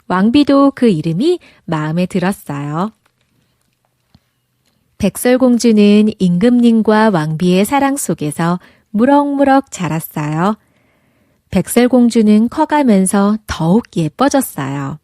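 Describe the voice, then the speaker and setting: A woman's voice: medium pitch, dark tone, dry recording, clean studio sound. A woman narrating a children's fairy tale, reading aloud expressively as a storybook narrator.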